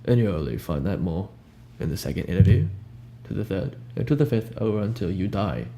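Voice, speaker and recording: A young man improvising a soft-spoken ASMR roleplay as a cool, ominous recruiter, talking in a casual, blunt, unhurried way over hiss and hum. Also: deep voice, big pitch swings, gravelly. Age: young adult. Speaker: ASMR roleplayer playing a job interviewer. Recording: audible background hiss and hum.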